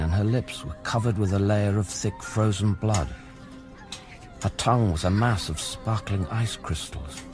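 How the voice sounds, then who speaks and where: A low male voice plays a grave, haunted lover in an audio drama. He is intimate and literary, tender in his grief, with music playing clearly behind him. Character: grief-stricken man, narrator, tender lover of a woman. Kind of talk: audio play narration and dialogue.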